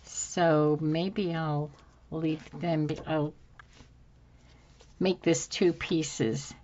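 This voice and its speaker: A woman hosting a casual crafting video at her craft table, a bit hoarse, thinking aloud as she works with many ums. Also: low voice, fairly even intonation, extremely slow, dark tone, muffled recording. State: slightly hoarse from dry throat. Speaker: craft channel host. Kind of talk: casual crafting tutorial chat. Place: home craft table, indoors.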